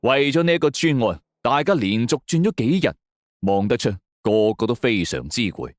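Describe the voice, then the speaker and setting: A man's voice: medium pitch, very animated, moderate pace, no echo, clean studio sound. A man with a Cantonese accent narrating a suspense story in the first person, in a dramatic scripted style. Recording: music bed under the voice.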